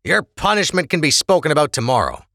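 A man acting out harsh scornful jailers, scolding, gravelly, dry sound. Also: a little high, sing-song, quick pace, pushed and bright, no room sound, pitched above the performer's own voice, full character voice. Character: stern, scornful male prison guards.

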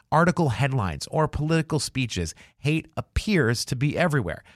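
A man reading the scripted introduction to his podcast episode in a measured, earnest way. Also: medium pitch, no echo, clean studio sound. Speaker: podcast host.

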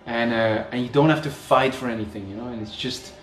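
A man from Belgium chatting casually, reflective and relaxed, with "you know" in nearly every sentence. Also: mid-range voice, a little fast. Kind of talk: casual conversation. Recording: echoey room with faint background noise.

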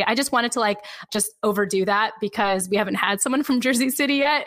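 A woman's voice: medium pitch, a bit bright. A woman hosting a podcast, chatty and upbeat as she interviews a guest and reads an ad. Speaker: podcast host. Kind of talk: podcast interview and host-read ad.

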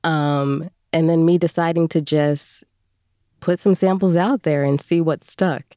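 A woman's voice: low-pitched, steady tone, unhurried, mellow, no room sound, clean studio sound. A woman speaking casually as an interview guest, a voice performer telling her story.

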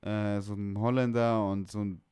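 A man's voice: on the low side, little pitch movement, moderate pace, darkish tone, clean tone, no room sound. A young man from northern Germany chatting casually about music, slangy, blunt and opinionated. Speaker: music producer, podcast guest.

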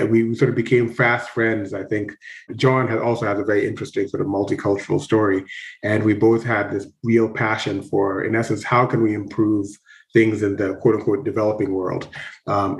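A man's voice: on the low side, rough recording. A middle-aged man from East Africa answering conversationally as an interview guest, often repeating words as he thinks. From Tanzania and Kenya. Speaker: podcast guest, company founder.

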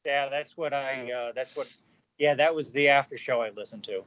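A man chatting casually as a podcast panelist, hesitant, often pausing to rephrase. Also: medium pitch, dull audio. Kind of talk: casual discussion.

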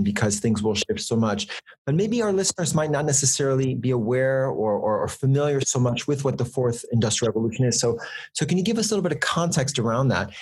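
A man hosts an interview in a husky, slightly raspy voice, in a middle pitch. He repeats "and, and" and restarts his sentences as he frames his questions. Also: darkish tone. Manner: stammering restarts, repeated words. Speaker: podcast host.

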